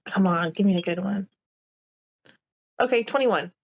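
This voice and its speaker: A woman's voice: medium pitch, unhurried. A woman chatting casually as a player in a tabletop roleplaying game, informally thinking aloud, on slightly lo-fi audio.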